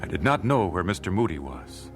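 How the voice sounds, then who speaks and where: An actor playing an earnest nineteenth-century American gospel singer in a radio drama, speaking in a measured, solemn, dramatic way. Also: male voice, sits low, sing-song, music in the background. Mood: solemn, reflective. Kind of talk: radio drama narration and dialogue.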